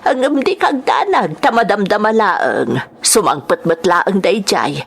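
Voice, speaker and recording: Animated, fussing grandmother voiced by a woman. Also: mid-range voice, unhurried, gravelly, husky, heavily acted. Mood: fussing, questioning. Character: doting, anxious grandmother, mother of grown son. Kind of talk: Ilocano serial audio drama acting.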